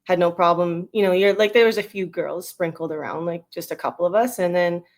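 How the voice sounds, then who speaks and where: A woman's voice: fairly low pitch, fairly even intonation, fairly quick. A woman in her thirties with a western Canadian accent, speaking as a guest in a casual interview in an informal, rambling way. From Edmonton, Canada. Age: 30s. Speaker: interview guest, retired national team baseball player.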